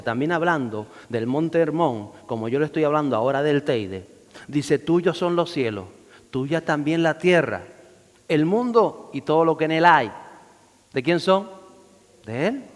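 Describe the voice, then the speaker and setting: A male pastor preaches in a mid-pitched voice. He rises in force whenever he quotes scripture. His words ring out with a long hall reverb. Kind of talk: sermon.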